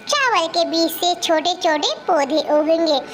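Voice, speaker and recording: A woman voicing a hardworking, motherly little bird in a children's cartoon, with a gentle, explanatory manner. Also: very high voice, animated, measured pace, pitched above the performer's own voice. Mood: patient, instructive. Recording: faint background noise.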